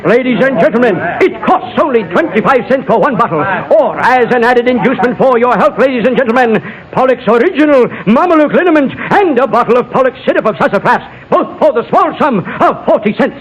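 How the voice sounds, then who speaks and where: An actor playing a grandiose frontier medicine-show salesman with a florid, theatrical pitch, in an old radio drama. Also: animated, fairly quick, hardly any pauses, dull audio. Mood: grandiose, hawking. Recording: vintage radio drama, crowd behind.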